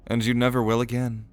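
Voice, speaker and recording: A man's voice: mid-range voice, natural voice. A man playing a cocky, sardonic young prince at a mage academy in an audio roleplay, with a swaggering, theatrical manner. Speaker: ASMR roleplay voice actor.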